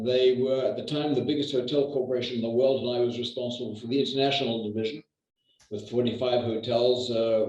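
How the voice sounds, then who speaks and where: A man's voice: medium pitch, darkish tone, dull audio. Older man on an echoey distant call. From New Zealand. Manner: measured, with many hesitations. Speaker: real estate agent guest. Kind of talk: conversational interview.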